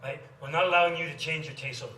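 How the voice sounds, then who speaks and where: A man from Canada with a Canadian accent, tutoring economics in a casual one-on-one chat, quick and chatty and often repeating himself. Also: fairly high pitch, pushed and bright. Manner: quick, chatty, repeats phrases, many yeahs. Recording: echoey room, mic at a distance, faint noise.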